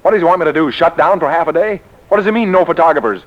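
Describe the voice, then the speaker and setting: A man's voice: a little high, racing, slight background noise, natural voice. An actor playing an exasperated, sputtering male publisher in a 1940s radio comedy-drama. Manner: indignant, sputtering, stammering. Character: flustered, exasperated male book publisher and boss. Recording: muffled old 1940s radio recording.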